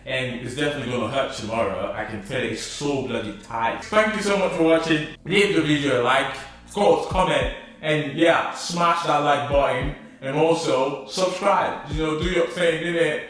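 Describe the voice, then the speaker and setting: A man vlogging casually, chatty and excitable as he unboxes something and thinks aloud. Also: on the high side, normal speed, hardly any pauses. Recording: echoey room, mic at a distance.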